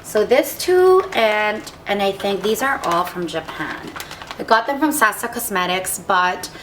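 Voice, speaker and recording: A woman's voice: medium pitch, animated, unhurried, fairly bright tone, a little hiss or hum. A young woman who feels under the weather and sounds congested, chatting casually as she reviews beauty products and reads their labels aloud. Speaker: beauty vlogger.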